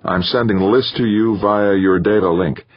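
Narrator guy as mission control man, formal n precise, voice lifted higher, slightly muffled audiobook. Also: steady tone, normal speed, natural voice. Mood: businesslike. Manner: precise, orderly. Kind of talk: audiobook character dialogue.

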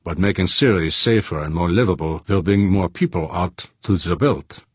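A man voicing a dogged businessman in an audiobook, formal and deliberate, insistently pressing accusations. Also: deep voice, slightly rough, no echo.

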